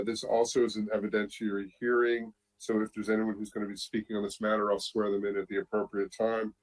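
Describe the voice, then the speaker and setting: A man's voice: on the low side, rough recording. A man presiding as chair over a public board meeting, moving through procedure in an orderly but informal way.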